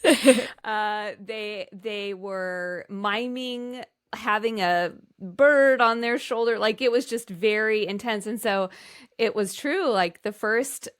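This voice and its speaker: A woman's voice: mid-range voice, takes it slowly, clear, resonant tone. A middle-aged woman telling a personal story casually on a podcast, in an informal, emphatic way. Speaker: podcast panelist.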